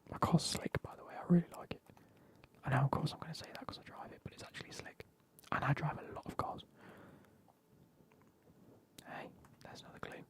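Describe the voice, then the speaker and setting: A man's voice: a little high, fairly even intonation, fairly quick, pauses often. A man, whispering as he banters with his live stream chat and swears now and then.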